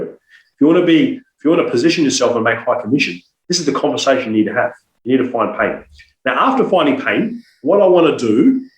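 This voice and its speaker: A man's voice: mid-range voice, roomy sound, poor audio. A man with a cold, speaking as a sales coach guest on a podcast interview. He talks emphatically and persuasively, leaning on rhetorical questions. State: has a cold.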